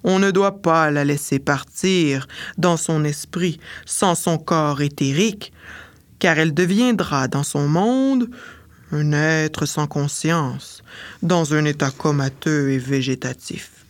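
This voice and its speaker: A woman voicing a grave male mage who speaks slowly and solemnly. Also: low-pitched, dry recording, pitched below the performer's own voice. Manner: solemn, slow and deliberate.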